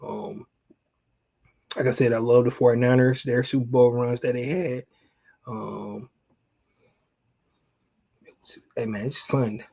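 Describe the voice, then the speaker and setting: A man's voice: mid-range voice, level tone, unhurried, pauses often, dark tone, lo-fi sound. A middle-aged man from Atlanta, chatting casually and colloquially to viewers as a sports livestream host. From Atlanta, Georgia, United States. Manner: conversational, addresses viewers directly, colloquial. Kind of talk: casual fan chat, reminiscing about sports.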